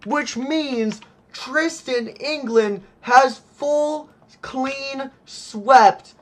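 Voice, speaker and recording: Man, excited play-by-play commentator, high voice, unhurried delivery, losing his voice. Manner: hyped, exclaims often. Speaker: commentator for a simulated monster truck series.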